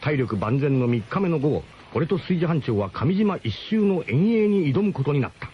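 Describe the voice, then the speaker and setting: Animated male radio drama narrator. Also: fairly high pitch, moderate pace, breathy edge, lo-fi sound. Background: music.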